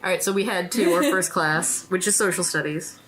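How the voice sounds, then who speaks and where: A woman's voice: on the low side, fairly quick, fairly bright tone, poor audio. Woman, casual, music underneath. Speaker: player in a tabletop horror game podcast. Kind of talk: casual game-table chat.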